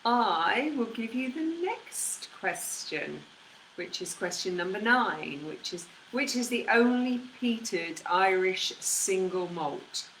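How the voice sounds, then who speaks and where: A woman co-hosting a casual live stream, making relaxed, teasing remarks and reading out a quiz question. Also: mid-range voice, slow. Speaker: co-host. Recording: roomy sound with faint background noise.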